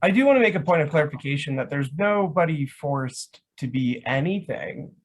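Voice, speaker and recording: A man's voice: fairly high pitch, lively intonation, moderate pace. A man in a casual unscripted discussion, clarifying points in a matter-of-fact way.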